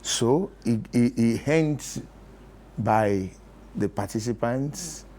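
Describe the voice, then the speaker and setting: A Nigerian man speaking as an interview guest, explaining deliberately in short rhetorical phrases. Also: medium pitch, warm tone, slight background noise. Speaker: interview guest, theatre producer.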